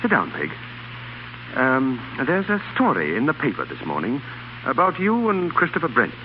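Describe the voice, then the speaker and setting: A dull vintage radio recording with faint hiss carries an earnest gentleman in love. His delivery is theatrical 1930s drama, hugely animated in pitch, pleading and protective. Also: normal speed. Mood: insistent, pleading.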